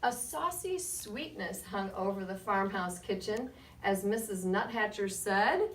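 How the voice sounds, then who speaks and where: A woman reading a picture book aloud to children as a guest storyteller, with expressive, measured delivery and short asides to her listeners. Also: mid-range voice. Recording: roomy, distant mic, faint background noise.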